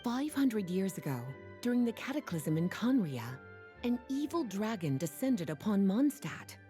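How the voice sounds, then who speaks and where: A woman voicing a poised, wry librarian in a video game who speaks in a languid, gently teasing way. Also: medium pitch, big pitch swings, moderate pace, lightly acted. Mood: explanatory. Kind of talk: game voice acting. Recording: soft game music underneath.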